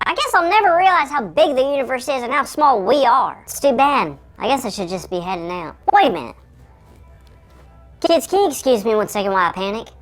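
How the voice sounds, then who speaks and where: A woman voicing a shrunken male kids' show host who talks in a frantic, whiny, high chipmunk squeak. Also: very animated, fairly quick, over music. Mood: worried. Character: shrunken tiny male puppet host, panicky. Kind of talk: children's Bible show comedy.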